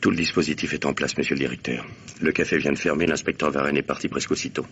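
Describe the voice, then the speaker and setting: An actor playing a curt, authoritative, impatient police commissioner in a radio mystery play. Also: male voice, extremely low pitch, fairly even intonation, fast talker, fairly bright tone. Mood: calm, watchful. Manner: curt, commanding. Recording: dull, noisy old radio drama recording.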